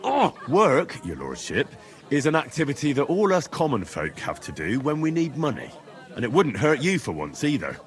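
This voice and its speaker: A man's voice: mid-range voice, huge pitch swings, normal speed. A man playing a practical, plain-spoken young commoner adventurer in a video game, direct and down-to-earth. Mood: exasperated.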